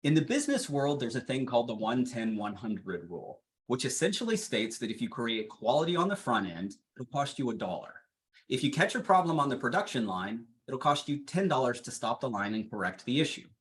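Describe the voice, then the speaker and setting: A man's voice: mid-range voice, sing-song, brisk, slight background noise. A man giving a training webinar presentation, reading steadily from prepared slides.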